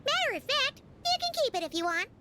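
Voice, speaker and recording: A woman voicing a perky, childlike fairy companion in an anime game, speaking with a squeaky voice. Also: sing-song, a little fast, heavy background noise. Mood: fond, earnest. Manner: speaks of herself in third person, perky. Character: small chirpy fairy girl companion and guide.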